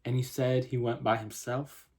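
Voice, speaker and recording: A patient Texan policeman in a story reading, voiced by a man. He is polite and measured, yet sceptical and probing in his questions. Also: medium pitch, level tone, darkish tone, husky, natural voice.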